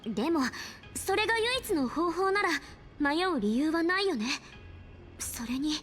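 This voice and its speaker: A woman's voice: extremely high pitch, animated, fairly quick, fairly bright tone, soft background music. A woman playing a bold, mysterious young woman in an anime game, playful yet solemn and resolute. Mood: resolute, brisk. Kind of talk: Japanese anime game voice acting.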